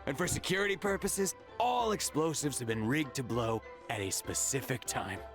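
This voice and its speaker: A man's voice: medium pitch, moderate pace, natural voice. An actor voicing a smug, scheming henchman in a video game. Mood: taunting. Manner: smug, taunting. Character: smug, scheming henchman, loyal to his boss. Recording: background game music.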